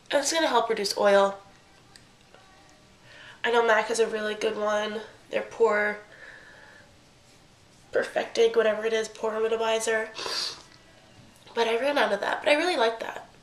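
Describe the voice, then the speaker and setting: A woman's voice: on the high side, fairly even intonation, a little slow, pauses often, low-quality recording. A young woman with a stuffy, congested voice, chatting casually through a makeup tutorial and often correcting herself mid-sentence. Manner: chatty, rambling, self-correcting. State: congested from a cold. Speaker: beauty vlogger.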